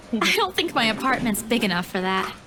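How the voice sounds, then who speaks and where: A friendly young heroine in a visual novel game. Her voice sits fairly high with lively intonation, and she talks casually and modestly. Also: normal speed, noisy background.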